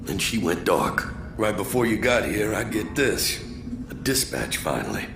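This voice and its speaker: An actor playing a gruff, weary resistance marshal in a video game, blunt and wry. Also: male voice, fairly low pitch, very animated, a little slow, roomy sound, noisy background, heavily acted. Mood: worried.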